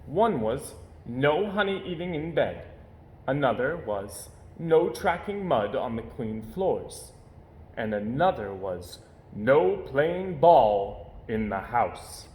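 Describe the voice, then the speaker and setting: A man reading a children's story aloud in an expressive narrating style. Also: medium pitch, takes it slowly. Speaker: storybook reader. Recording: roomy echo, faint background noise.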